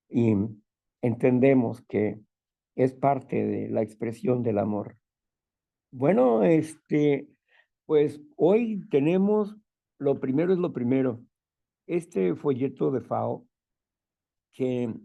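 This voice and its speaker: A man with a strong, loud voice who sounds stern without being angry, leading a spiritual talk and prayer. He repeats phrases and often checks in with "¿no?" and "¿verdad?". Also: medium pitch, vocal fry. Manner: fervent prayer calling on the Father. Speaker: group leader.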